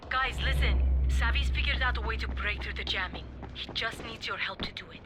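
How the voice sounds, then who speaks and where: Actress as an earnest resistance woman, loud music. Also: on the high side, a little fast, close to an everyday voice. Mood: urgent. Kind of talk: video game cutscene acting.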